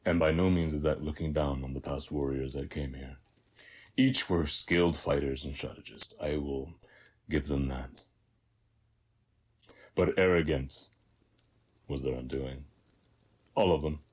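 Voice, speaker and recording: Man acting very deep, husky, weary warrior. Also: fairly even intonation, darkish tone, full character voice. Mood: wry, calm. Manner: measured, reflective, pausing between short phrases. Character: weary, battle-hardened male warrior of Ares, bereaved father. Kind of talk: scripted audio roleplay addressed to the listener.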